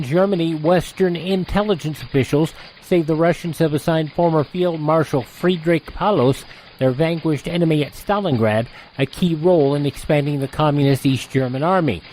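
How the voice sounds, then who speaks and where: American man, radio news reader and presenter, fairly high voice, scripted copy over slight noise. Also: a little mellow, poor audio.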